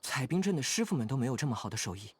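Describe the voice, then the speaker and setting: A man's voice: mid-range voice, big pitch swings, racing, slight background noise, lightly acted. A man voicing a hardy, easygoing young idealist in a video game, with a casual, frank way of talking. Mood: relaxed, appreciative. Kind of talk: video game character dialogue.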